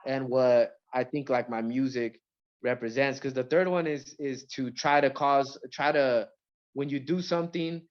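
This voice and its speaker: A man from Mexico and Central California, a rapper, chatting casually in an interview with a halting, repetitive delivery full of filler phrases. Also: medium pitch, steady tone, dull audio. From born in Mexico, grew up in Central California. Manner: halting, repeats words, frequent "you know" and "like".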